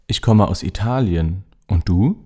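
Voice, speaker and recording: Deep, dark-voiced man reading dialogues. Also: expressive, unhurried, slightly rough. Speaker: dialogue reader in a lesson.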